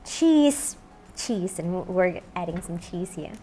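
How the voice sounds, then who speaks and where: A woman's voice: on the low side, animated, slow pace, dark tone, poor audio. A woman in her thirties, a chef hosting a cooking show, chatting upbeat to camera with plenty of "you know" as she cooks, wearing a lapel mic in a kitchen studio. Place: home-style kitchen studio, indoors.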